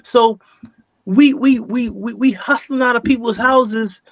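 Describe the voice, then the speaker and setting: An American man being interviewed, telling his life story casually in animated street slang, repeating phrases for emphasis. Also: very high voice, measured pace, dry recording, highs cut off.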